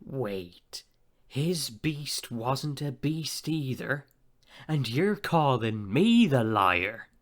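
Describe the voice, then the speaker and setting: Upstart pirate captain, boastful bully, slow deliberate pace, taunting, indignant, audio play theatrics. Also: male voice, medium pitch, lively intonation. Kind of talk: theatrical audio drama dialogue.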